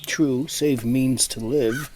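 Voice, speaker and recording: A man's voice: mid-range voice, steady tone, takes it slowly, a little mellow, lightly acted. A man playing a scheming, mocking duke in a Shakespearean audio drama, with a dry, jeering wit. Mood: scornful. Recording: faint noise on home recording.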